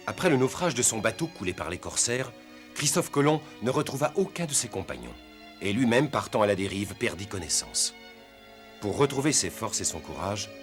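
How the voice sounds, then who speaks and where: A man narrating a cartoon as a solemn storyteller, with measured, scripted delivery. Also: lowish voice. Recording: music behind the narration.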